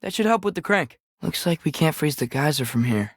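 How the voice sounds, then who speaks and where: An earnest American teenage boy, a curious game companion with a fairly high voice. He talks casually and youthfully, often questioning and suggesting, in a close, dry recording. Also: expressive, a little fast, no echo. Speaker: video game companion character. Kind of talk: scripted game dialogue, conversational.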